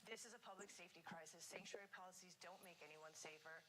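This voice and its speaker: A woman's voice: on the low side, fast talker, over a noisy crowd. A woman official from Boston gives a firm, declarative public statement. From Boston, Massachusetts. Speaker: government official. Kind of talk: press statement. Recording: noisy press-event clip.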